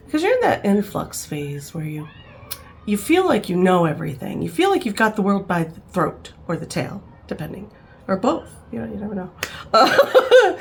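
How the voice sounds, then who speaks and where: A woman's voice: on the low side, creaky, a little hiss or hum. A woman in her fifties, rambling and chatty, talking casually to camera at home, with frequent laughs and fillers. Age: 50s. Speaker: vlogger.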